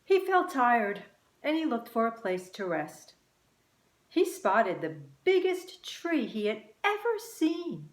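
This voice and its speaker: A woman reading a children's story expressively and deliberately, with dramatic pauses. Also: fairly high pitch, sing-song. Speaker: author and teacher reading own book. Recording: roomy, echoing room sound.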